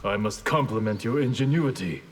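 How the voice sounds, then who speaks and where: A man's voice: lowish voice, expressive, moderate pace, close to an everyday voice. A man voicing a disciplined, formal ninja mentor in a video game, admonishing and composed. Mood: stern, composed. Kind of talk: video game character banter. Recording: reverberant hall.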